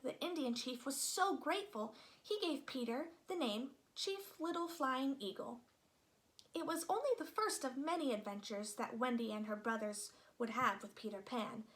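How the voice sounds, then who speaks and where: High, lively woman reading a storybook. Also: moderate pace, rough recording. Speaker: storybook reader. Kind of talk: expressive read-aloud children's narration.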